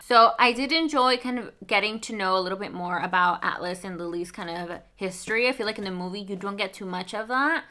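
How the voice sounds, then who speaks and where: A young woman from Mexico, with Spanish as her first language, chats casually through a book review. She rambles with plenty of fillers and side remarks. Her voice sounds bright and sits at a middle pitch. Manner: chatty, rambling, lots of fillers and asides. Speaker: book vlogger.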